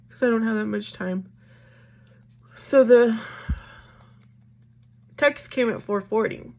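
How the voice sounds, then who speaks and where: A woman in her thirties with a raspy voice, chatting casually to viewers at home in a rambling, friendly way. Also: mid-range voice. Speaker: vlogger. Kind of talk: casual get-ready-with-me chat.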